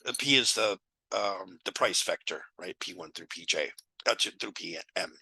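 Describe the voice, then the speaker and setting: A man in his thirties talks through math proofs in a relaxed, thinking-aloud way, his voice hoarse and gravelly from illness. His tone is sharp and bright. The sound is dry and close, from a headset mic in a small room. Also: low voice, moderate pace, no room sound, rough recording. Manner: casual, thinking aloud with fillers. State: sick, losing his voice. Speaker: math tutor. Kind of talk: casual lecture walkthrough.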